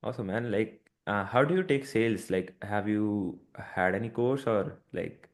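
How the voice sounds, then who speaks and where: A man's voice: on the low side, moderate pace. A man on a casual business call, sharing advice with a peer in an encouraging way, with frequent fillers.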